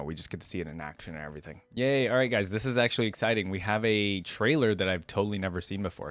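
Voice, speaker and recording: A man's voice: deep voice, dry recording. A man hosting a reaction video, giving casual, upbeat commentary in an informal, appreciative way. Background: music behind the voice.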